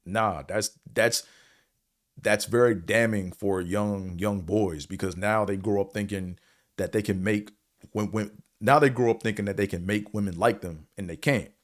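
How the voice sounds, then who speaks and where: A man with a deep, low voice delivers a casual opinion monologue as a show host. He talks bluntly and swears freely, wandering between points with a slight stutter and filling gaps with "man" and "you know". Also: moderate pace. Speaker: show host reviewing a series.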